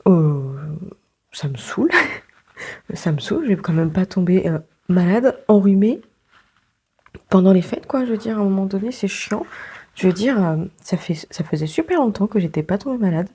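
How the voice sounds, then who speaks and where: A woman's voice: mid-range voice, expressive, normal speed, warm tone, breathy edge. A young woman vlogging casually, congested with a sore throat, chatting with many fillers and laughs. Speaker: book vlogger. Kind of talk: casual vlog chat.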